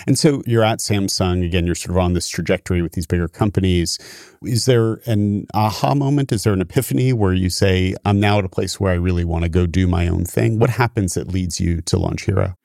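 A man hosting an interview, asking probing, brisk questions about a guest's career. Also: low voice, vocal fry, a little mellow, no room sound, clean studio sound. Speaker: interview host.

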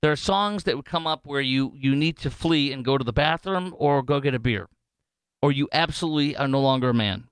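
A man hosting talk radio from a studio, jumping into high, mocking tones and tripping over his words. His voice is smooth and clear, recorded dry and close. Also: fairly quick, dry recording. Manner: animated and sarcastic, full of fillers and restarts. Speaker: fill-in radio talk show host. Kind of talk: casual talk radio commentary.

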